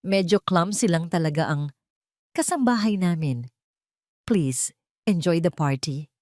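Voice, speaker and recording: A woman's voice: fairly low pitch, lively intonation, a little slow, a little mellow, no room sound, studio quality. Woman acting a cold, curt rich husband. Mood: forced politeness. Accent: Filipino. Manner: curt, strained politeness or anger. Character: cold, ashamed rich husband, adult man.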